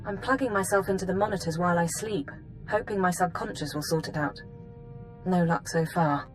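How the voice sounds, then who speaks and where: A woman playing a composed female intelligence analyst in a video game, giving briefings in a measured, formal way. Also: fairly low pitch, soft background music, lightly acted, rough recording. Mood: businesslike concern. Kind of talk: scripted video game acting, mission briefing.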